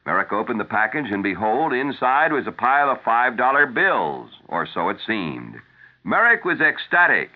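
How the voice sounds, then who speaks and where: A man's voice: medium pitch, very animated, moderate pace. An American man reading scripted news as a radio anchor on a 1970s broadcast. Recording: thin narrow-band 1970s radio broadcast.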